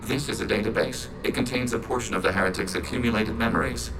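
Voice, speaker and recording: An actor voicing a calm, logical machine mind in a video game, measured and formal, with synthetic processing on the voice. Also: male voice, medium pitch, music in the background, poor audio. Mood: clinical, informative. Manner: measured, clinical, formal, speaks as "we". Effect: synthetic robot voice processing. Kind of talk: video game character acting.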